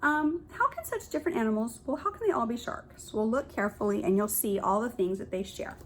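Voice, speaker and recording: A woman kindergarten teacher reads a picture book aloud to young children, pausing to explain and point things out in simple words. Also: fairly high pitch, lively intonation, slight background noise.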